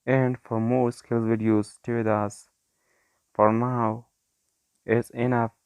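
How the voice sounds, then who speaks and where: A man who is a non-native English speaker, hosting an informal software tutorial in simple, short, halting phrases. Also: mid-range voice, flat delivery, clear, resonant tone, no room sound, muffled recording. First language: not English. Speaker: tutorial host.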